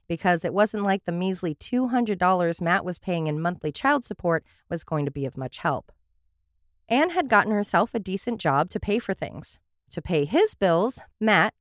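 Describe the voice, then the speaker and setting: Woman reading a true crime story on a podcast, kinda sarcastic, lowish voice, super dry mic. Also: no room sound. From Portland area, USA. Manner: wry, sarcastic asides. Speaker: podcast host telling a true crime case. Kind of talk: scripted true crime narration with asides.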